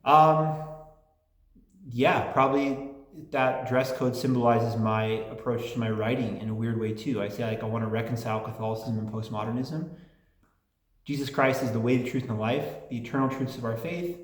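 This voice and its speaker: Rambling chatty man in an echoey room. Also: mid-range voice. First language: English and Polish. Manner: rambling, chatty, many restarts and fillers. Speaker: channel host answering fan mail. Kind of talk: casual chat, reading listener letters.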